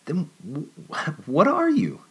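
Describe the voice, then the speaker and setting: A man reading aloud, voicing an excitable, dreamy young woman with a high, breathless, stammering voice. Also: normal speed. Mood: wonderstruck.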